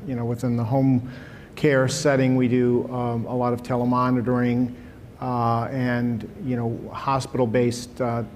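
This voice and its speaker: A man moderating a public panel in an auditorium who also speaks for a rehabilitation provider, measured and full of fillers. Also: medium pitch, a little mellow, a little hiss or hum. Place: auditorium stage.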